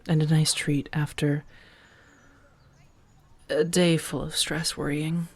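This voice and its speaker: A woman playing an affectionate, playful female vampire roommate in a soft-spoken, gently teasing ASMR roleplay. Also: deep voice, level tone, slow, full character voice. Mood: tender, relaxed. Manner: gentle, chatty, teasing, with soft murmurs and breathy asides.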